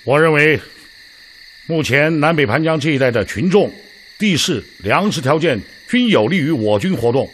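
A sceptical, analytical officer in a radio drama, slightly high and expressive. He reasons formally while night insects sound faintly behind. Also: normal speed, a little hiss or hum. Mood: deliberate.

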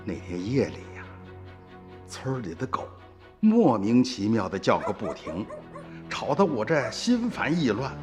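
An actor playing an earthy, worried old farmer in a radio drama, telling his story in a folksy, expressive way. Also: mid-range voice, measured pace, over music. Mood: reflective, uneasy. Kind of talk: radio drama narration and acting.

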